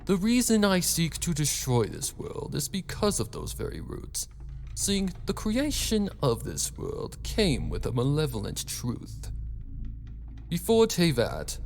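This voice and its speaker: A man's voice: mid-range voice, wildly swooping pitch, measured pace, a little mellow, a little hiss or hum, voice pushed up. Man voicing a smug, sinister villain doctor. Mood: condescending, gloating. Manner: smug, theatrical, condescending. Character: sinister, calm, gloating male villain doctor.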